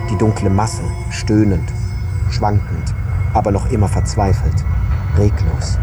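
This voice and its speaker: Lowish-voiced man narrating over prominent music. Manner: measured, delivered in short separate phrases. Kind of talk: scripted literary narration in an audio drama.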